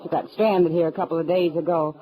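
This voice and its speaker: A woman's voice: fairly low pitch, fairly even intonation, brisk, narrow, tinny audio, natural voice. An American woman acting as a worldly, familiar, easygoing saloon woman in an old-time radio western. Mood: confiding. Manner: familiar, breezy, knowing. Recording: old radio drama, saloon crowd behind.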